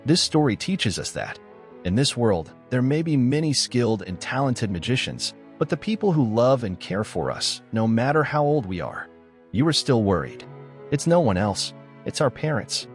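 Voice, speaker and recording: Guy narrator giving a kids story moral, scripted, lively intonation, music underneath. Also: mid-range voice, moderate pace.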